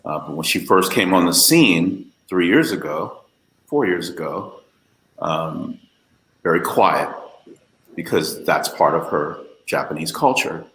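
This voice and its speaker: A man with a very deep voice speaks on a panel, earnest and emphatic, often pausing on fillers. His sound rings in an echoey room, as on a video call. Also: expressive, fairly bright tone. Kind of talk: panel discussion.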